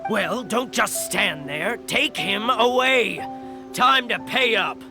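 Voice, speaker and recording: A man voicing a stern, suspicious army captain in a video game, gruff and gravelly. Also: high voice, expressive, slow, over music. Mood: commanding. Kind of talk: video game character acting.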